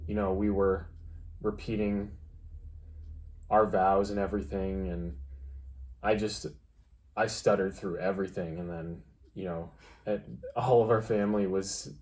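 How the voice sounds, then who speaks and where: A young adult man co-hosting a vlog, telling a personal story casually and haltingly, often restarting his phrases. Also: deep voice, darkish tone. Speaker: vlog co-host. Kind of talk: casual storytime chat with a partner.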